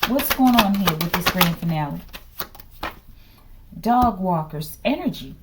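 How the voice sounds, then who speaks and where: A woman giving an improvised, informal tarot reading to an online audience, asking rhetorical questions, tagging her phrases with "okay" and dropping into hushed asides. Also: lowish voice, animated, unhurried, very noisy. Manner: repeats rhetorical questions and verbal tags like "okay". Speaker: tarot reader, host of the reading.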